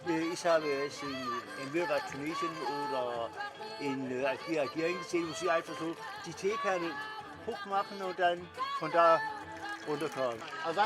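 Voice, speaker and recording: A man visiting an art exhibition, chatting agreeably and often answering with quick yeses. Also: fairly high pitch. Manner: agreeable, lots of quick "yes, yes". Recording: busy exhibition crowd with music.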